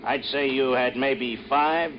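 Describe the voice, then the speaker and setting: An actor in a radio drama playing a worldly-wise, folksy big-city cabbie with slangy, familiar talk. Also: male voice, mid-range voice, steady tone, takes it slowly, close to an everyday voice. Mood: chatty, knowing. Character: chatty, worldly-wise male big-city cab driver. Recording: muffled, noisy old radio drama recording.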